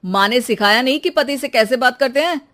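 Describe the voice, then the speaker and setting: A woman's voice: sits high, fairly quick, a bit bright. A woman voicing an arrogant, mocking young husband in a moral story, with a scornful, bossy manner. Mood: stern, scornful. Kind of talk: acted moral-story dialogue.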